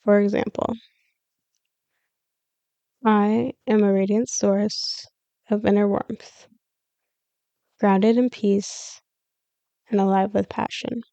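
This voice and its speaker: A woman leading a scripted guided meditation as a yoga nidra teacher, giving calm, soothing, measured instructions with pauses. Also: medium pitch, gravelly, clean tone, no room sound.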